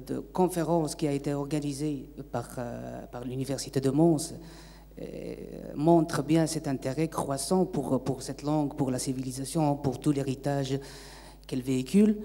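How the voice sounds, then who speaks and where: A man's voice: medium pitch, warm tone. A man whose first language is Arabic, an audience member asking an earnest, hesitant question at a conference. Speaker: audience member and evening-course teacher. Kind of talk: question from the floor. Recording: long reverb of a lecture hall.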